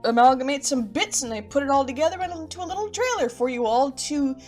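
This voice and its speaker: High voiced girl doing youtube host chat, bubbly n playful, emphatic, music playing behind her. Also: expressive, a little fast, a little mellow. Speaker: video host.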